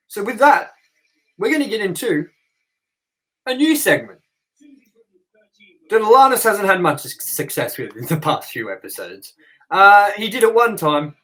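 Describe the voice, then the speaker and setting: A man's voice: high voice, bright, forceful tone, low-quality recording. A man co-hosting a casual movie review chat, rambling with lots of fillers and "you know". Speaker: co-host.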